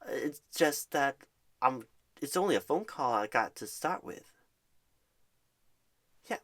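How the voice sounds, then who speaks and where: A mid-pitched voice performs an ASMR roleplay as a happy job recruiter and career coach. The delivery is encouraging and wordy, explaining things at length. Pet names soften the talk throughout. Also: expressive, slow. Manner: reassuring, wordy, uses pet names like darling and honey. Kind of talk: ASMR roleplay, one side of a phone screening.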